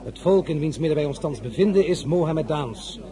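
An actor playing an ambitious, self-assured military commander in a radio drama, with an oratorical, pompous manner. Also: male voice, on the high side, lively intonation, a little fast, mellow, natural voice. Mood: grandiose. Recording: crowd chatter behind voice.

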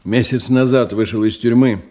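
A man's voice: medium pitch, normal speed, dark tone, lightly acted. An actor playing a testy man in his fifties who reports formally to his superior in a radio drama, with irritable muttered asides. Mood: businesslike. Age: 50s. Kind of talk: Russian radio drama acting.

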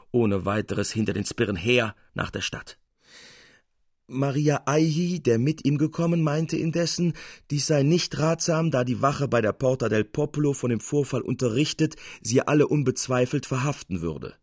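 Male narrator, standard German, lively storytelling of classic fiction, close and dry recording. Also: medium pitch, no echo. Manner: expressive, acting out dialogue. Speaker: audiobook narrator. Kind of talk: dramatic literary narration. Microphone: close studio microphone.

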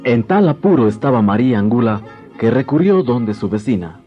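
A man's voice: medium pitch, big pitch swings, moderate pace. A man narrating a scripted tale dramatically as a radio drama storyteller. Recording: dull lo-fi 1990s radio recording, music behind.

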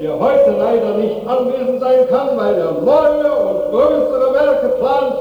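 A very shrill, pompous orator. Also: male voice, slow, faint music behind. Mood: bombastic, triumphant. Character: pompous, bombastic male official boasting at a ceremony. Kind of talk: 1960s German radio drama acting, mock public speech. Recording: dull, distant, heavily echoing hall recording.

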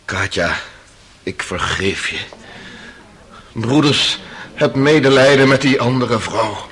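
A man's voice: medium pitch, expressive, slow pace, a bit bright. An actor playing an anguished condemned man in a radio drama, impassioned and declamatory. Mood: anguished, pleading. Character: anguished condemned man swearing his innocence. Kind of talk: Dutch radio drama acting.

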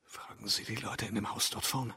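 A man playing a shabby but haughty, secretive stranger in a radio drama, with a gravelly, husky voice and imperious manner. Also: fairly low pitch, fairly even intonation, fairly quick, pushed and bright, far from the mic, exaggerated voice. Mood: furtive. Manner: imperious, furtive.

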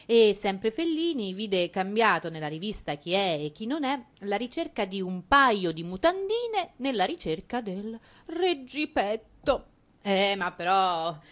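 A woman's voice: medium pitch, slow. Ironic woman narrator, theatrical delivery. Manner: ironic, expressive. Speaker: narrator and stage performer.

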